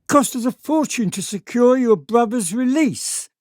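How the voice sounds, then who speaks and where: An actress playing a stern, haughty noblewoman in a radio drama, speaking deliberately as a mother guarding her family's honour. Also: a little high, lively intonation, darkish tone, no room sound, clean studio sound. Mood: cold, persuasive. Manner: haughty, deliberate, clipped commands.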